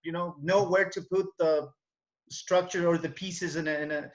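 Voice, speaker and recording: A man's voice: sits high, steady tone, moderate pace. A middle-aged man from Ecuador with a Spanish accent, a native Spanish speaker, answering interview questions in a reflective, explanatory way. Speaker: interview guest, English teacher. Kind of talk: casual interview. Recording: dull, lo-fi call audio.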